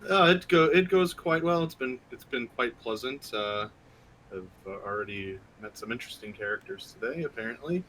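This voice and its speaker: A man playing a tabletop game, speaking in character with a halting, stammering delivery. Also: mid-range voice, lively intonation, a bit bright, poor audio. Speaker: player. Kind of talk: in-character role-play.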